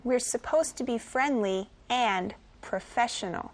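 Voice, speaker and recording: A woman's voice: on the high side, unhurried, lightly acted. A woman playing a bossy young cafe manager in a scripted sitcom, speaking firmly and emphatically. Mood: stern, scolding. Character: bossy young female cafe manager, stern older-sibling type.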